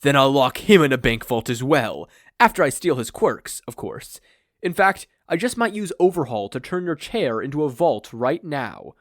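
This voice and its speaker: A man's voice: medium pitch, big pitch swings, normal speed, dry recording, studio quality, lightly acted. A man playing a grandiose, self-deluded male supervillain with a pompous, melodramatic, wordy delivery in a fan fiction reading. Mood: gleeful menace.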